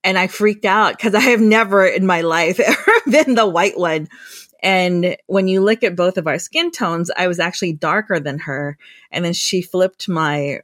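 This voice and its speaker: A woman's voice: on the low side, moderate pace, a bit bright, no echo. Thoughtful woman researcher, close and dry. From United States. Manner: thoughtful, with frequent restarts and fillers. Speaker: guest researcher. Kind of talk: conversational interview.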